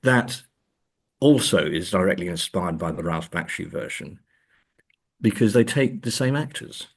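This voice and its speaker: A man's voice: sits low, animated, unhurried, slightly rough. A man speaking as an academic guest in an interview, discussing in a conversational, searching way and stressing key words. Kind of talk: conversational literary and film discussion.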